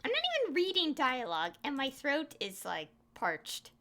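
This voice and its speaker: Gamer girl doing offhand commentary, bright voice, really up and down, woman talking casually to viewers. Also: sits high, big pitch swings, moderate pace, faint music behind. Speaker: gaming video creator.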